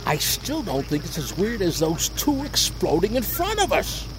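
An actress playing a no-nonsense police officer in an audio drama, brusque and commanding. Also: female voice, medium pitch, huge pitch swings, normal speed, over music, poor audio. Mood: bewildered. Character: no-nonsense, bewildered police officer on park patrol.

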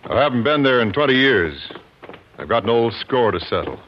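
An actor playing a grim, determined young avenger, terse and serious, in a muffled 1950s radio western. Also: male voice, medium pitch, sing-song, moderate pace, natural voice. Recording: muffled vintage radio recording, faint noise.

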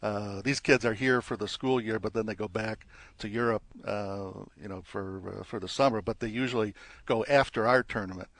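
A man with a somewhat low voice answers interview questions about the tournament he organizes. He stops and restarts often and leans on fillers. The recording is dry, with no room sound. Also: fairly bright tone, no room sound. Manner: halting, many fillers and restarts.

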